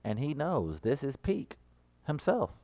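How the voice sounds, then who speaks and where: Animated joking man co-host. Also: medium pitch, unhurried. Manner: teasing, theatrical, bursts into loud mock speeches. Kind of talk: joking radio banter.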